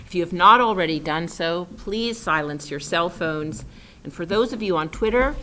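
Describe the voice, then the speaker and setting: A woman's voice: lowish voice, sharp tone. A woman moderator reading a formal, prepared introduction of the speakers at an event. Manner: formal, reads from a prepared text. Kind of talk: scripted introduction.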